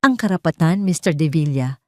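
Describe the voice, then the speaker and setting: A woman's voice: low-pitched, animated, a little fast, warm tone, no room sound, studio quality. Woman playing a cold, commanding protective man. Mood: cold, warning.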